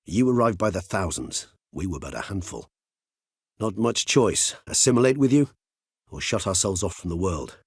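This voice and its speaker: A middle-aged gentleman vampire from a fantasy video game. His voice is deep and notably husky, recorded very dry and close. He speaks with erudite, old-fashioned courtesy, gentle and philosophical, choosing each phrase with measured care. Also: big pitch swings, dry recording, full character voice. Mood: dry, reflective. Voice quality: husky, low. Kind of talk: video game character dialogue.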